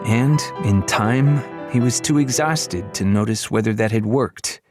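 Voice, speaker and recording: A man reading a children's fantasy novel as an audiobook narrator, in expressive, dramatic storytelling style. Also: on the low side, a little slow, over music.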